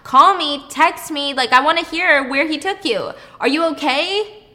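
Woman acting a blunt, high-voiced worried young friend. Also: expressive, a little slow, bright, forceful tone, in a large hall, full character voice. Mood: worried, demanding.

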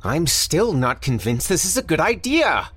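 A man putting on a high, fussy doctor. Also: sing-song, moderate pace, fairly bright tone, breathy edge, exaggerated voice. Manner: nervous, fussy, stammering when cornered. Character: fussy, excitable male scientist doctor, short and thin.

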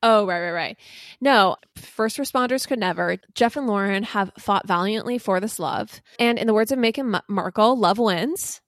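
A woman's voice: medium pitch, moderate pace, dry recording. Sarcastic woman podcaster, dry close sound. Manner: sarcastic, playful exaggeration. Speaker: podcast co-host. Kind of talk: casual comedic gossip chat.

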